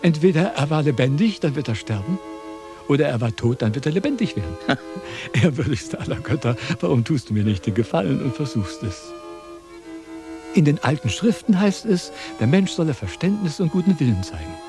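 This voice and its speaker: An actor playing a self-important, wordy wandering philosopher in a declamatory, quibbling radio drama style. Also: male voice, a little high, sing-song, fairly quick, over music. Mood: pompous reasoning. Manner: declamatory, pompous, sophistical. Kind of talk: theatrical German radio drama acting.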